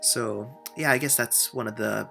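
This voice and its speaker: A man's voice: medium pitch, moderate pace, gravelly, over music. A young man from Japan whose first language is Japanese, a university student answering interview questions in an earnest way with many filler sounds. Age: young adult.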